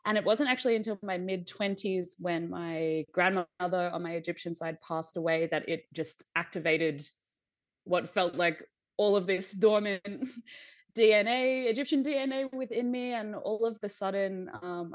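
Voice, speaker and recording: A young adult woman chats as a podcast guest, thoughtful and unhurried in her reflections. Her voice sits a little low for a woman. The recording is dry, with no room sound. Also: fairly even intonation, dry recording. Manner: reflective, laughs lightly, many "um" and "like" fillers. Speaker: podcast guest, visionary artist. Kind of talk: casual conversation.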